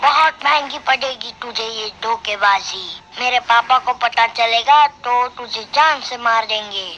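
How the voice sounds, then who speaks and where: A woman voices a young lion cub prince in a cartoon fable. The voice is fairly high, childlike and earnest, with a naive air. Clear background noise sits behind it. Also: measured pace, lo-fi sound, close to an everyday voice. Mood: defiant. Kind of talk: Hindi animated moral story acting.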